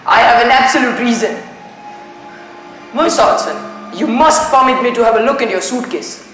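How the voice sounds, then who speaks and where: A teenage student acting a composed, methodical detective in a stage play, speaking formally and deliberately. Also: mid-range voice, expressive, fairly bright tone, close to an everyday voice. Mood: composed, probing. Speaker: student actor in a school play. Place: school stage, indoors. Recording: very echoey school stage, music behind.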